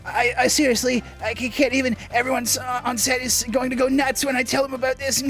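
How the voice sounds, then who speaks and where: A man's voice: high-pitched, lively intonation, moderate pace, gravelly, fairly bright tone, soft background music, heavily acted. A man voicing a nervous, starstruck young male superfan in a video game, stammering and hesitant.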